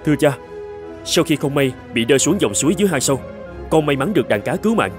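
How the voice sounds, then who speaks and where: A man's voice: fairly high pitch, animated, quick pace, over music, lightly acted. A man voicing a gallant young prince in a fairy-tale cartoon. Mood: earnest recounting.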